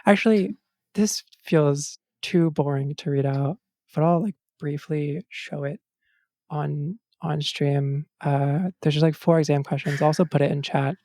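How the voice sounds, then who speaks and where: A woman acting as game master in casual table talk and narration, halting and full of false starts, recorded close at home. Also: sits low, steady tone, warm tone, dry recording.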